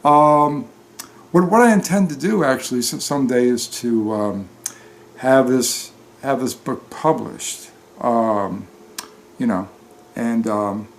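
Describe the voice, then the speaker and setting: A man speaks at a slow pace with a middling pitch. He sounds like an amateur book reader chatting off the page, hesitant and halting as he goes. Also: a little hiss or hum. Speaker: story reader. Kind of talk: narrated book reading, then casual unscripted talk.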